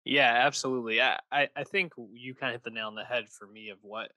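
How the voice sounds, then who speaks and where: A man's voice: mid-range voice, fairly even intonation, fast talker, pushed and bright, dry recording. A man co-hosting a music review podcast, chatting in an easygoing way with small hesitations. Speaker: podcast co-host. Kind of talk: casual music review chat.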